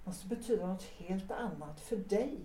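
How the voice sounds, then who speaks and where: A woman's voice: mid-range voice, animated, very noisy, natural voice. An actress with a Swedish accent playing an unhappily married woman who pleads earnestly with her young lover in an audio drama. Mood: intense. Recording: roomy, distant from the mic.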